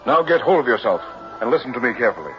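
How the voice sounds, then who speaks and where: Actor as stern sinister professor, muffled old radio. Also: male voice, medium pitch, big pitch swings, lightly acted. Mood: commanding. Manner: formal, commanding, deliberate. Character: stern, controlling, sinister professor running a carnival hypnosis act. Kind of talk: 1960s radio drama acting. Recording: muffled vintage radio recording with music.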